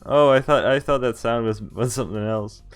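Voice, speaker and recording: A man's voice: mid-range voice, fairly quick, clean tone. A man streaming a game, reading visual novel text aloud and adding casual, joking commentary. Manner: amused, joking asides. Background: game music.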